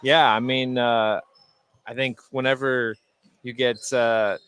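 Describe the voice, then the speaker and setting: Mid-pitched man giving halting sports analysis. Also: little pitch movement, a bit bright, clean tone. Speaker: color commentator. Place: courtside in an indoor high school gym.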